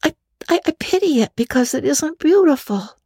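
A woman's voice: high-pitched, animated, moderate pace, darkish tone, dry recording, studio quality, voice pushed up. A woman voicing an imaginative, talkative young girl in a storybook reading, earnest and dramatic, with gushing chatter. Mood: indignant, sorrowful.

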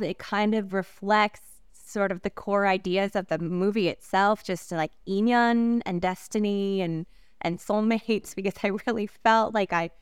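A woman whose first language is Korean speaks in an exceptionally smooth, clean voice, recorded close and dry. She talks reflectively as an interview guest, pausing on fillers and breaking into soft laughs. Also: mid-range voice, dry recording. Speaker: actress interviewee.

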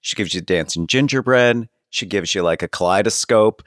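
A man's voice: on the low side, moderate pace, a bit bright, no echo, studio quality. A man co-hosting a comedy podcast, telling a rambling story full of fillers. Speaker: podcast co-host.

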